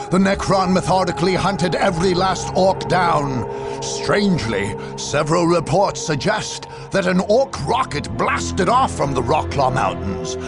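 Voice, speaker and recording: A man narrates a war game in a dramatic, deliberate style. His somewhat high voice carries a gravelly creak and moves in wide, theatrical swings. The delivery is grave and scripted.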